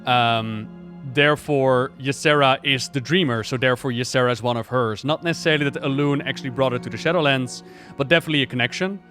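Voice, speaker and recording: A man giving casual, excited reaction commentary on a video game, exclaiming and thinking out loud. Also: medium pitch, quick pace, faint music behind. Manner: expressive, exclamatory, thinking aloud.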